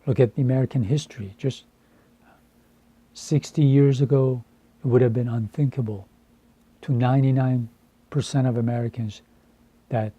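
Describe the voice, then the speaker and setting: A man in his fifties from Iran, a Farsi speaker, speaking deliberately and earnestly to camera with frequent hesitations. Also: medium pitch, fairly even intonation, mellow, husky, dry recording, highs cut off. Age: 50s. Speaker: translator. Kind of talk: earnest personal address to camera.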